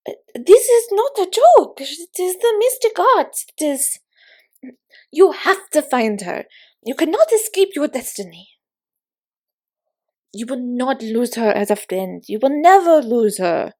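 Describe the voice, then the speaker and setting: A woman's voice: high-pitched, big pitch swings, measured pace, dark tone. A woman voicing a mysterious carnival fortune teller in a roleplay audio, theatrical and grandly insistent, with formal, portentous phrasing. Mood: indignant, insistent.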